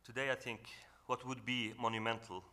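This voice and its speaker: A man from northern Norway with a Norwegian accent gives an earnest, reflective talk on a panel, often pausing and restarting as he searches for English words. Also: medium pitch, level tone, sharp tone. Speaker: panel speaker. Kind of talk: reflective presentation at a symposium.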